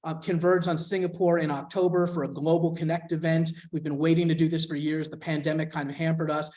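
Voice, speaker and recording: Higher-voiced man presenting on a call. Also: level tone, fast, darkish tone. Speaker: scholarship foundation representative. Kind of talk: presentation at an info session.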